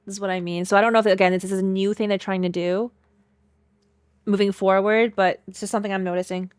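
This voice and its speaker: A woman's voice: mid-range voice, flat delivery, fast talker, clean tone, no echo. A woman livestreaming a game reaction, reading dialogue aloud and chatting with playful, teasing, slangy asides. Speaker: streamer reacting to a game story.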